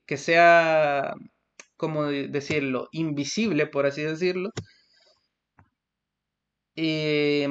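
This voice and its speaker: A young man from El Salvador with a Salvadoran Spanish accent, giving a class presentation and explaining from his notes with many fillers and restarts. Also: fairly high pitch. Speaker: student presenter. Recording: lo-fi muffled recording.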